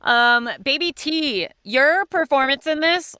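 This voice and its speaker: A woman's voice: high-pitched, slow pace, pushed and bright, dry recording, clean studio sound. A woman hosting a casual panel chat in an effusive, informal way. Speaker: host of a cast discussion.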